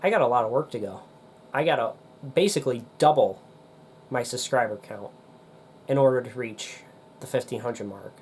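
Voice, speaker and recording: Young male vlogger, nasal and congested, mid-pitched, casual rambling delivery. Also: moderate pace, pauses often, a little mellow, slight background noise. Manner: rambling, hesitant with many ums. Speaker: demolition derby YouTuber. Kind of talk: casual chat to camera.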